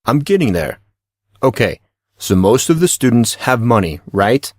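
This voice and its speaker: A man's voice: mid-range voice, sing-song, normal speed, no room sound, studio quality. Man as a patient, knowing teenage schoolboy. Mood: patient, pleased. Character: patient, knowing teenage boy explaining to his friend.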